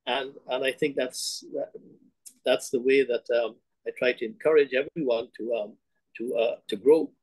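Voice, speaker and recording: A man's voice: mid-range voice, steady tone, normal speed, darkish tone, husky, no echo, low-quality recording. An older man from Guyana, a bank chief, telling his story on a video call with hesitant restarts and fillers. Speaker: bank CEO guest. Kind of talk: remote interview, reflective storytelling. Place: home room, indoors. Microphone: webcam on video call.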